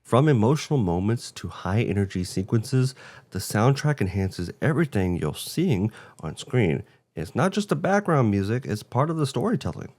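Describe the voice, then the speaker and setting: Animated, low-voiced man presenting a show. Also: normal speed. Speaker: co-host of a video game show. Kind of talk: scripted presentation. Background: background music.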